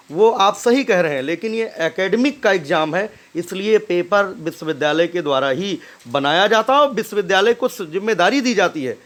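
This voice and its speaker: A high-pitched man hosts an informal commentary. He explains point by point in an insistent, admonishing way. He keeps adding short check-in tags as he goes. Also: a bit bright. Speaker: host commenting on a recorded phone call.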